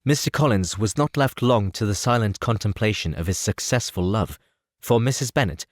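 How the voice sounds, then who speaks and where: A man narrating a classic novel as an audiobook in a steady, expressive reading. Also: fairly low pitch, normal speed, no room sound, clean studio sound. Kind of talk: scripted narration.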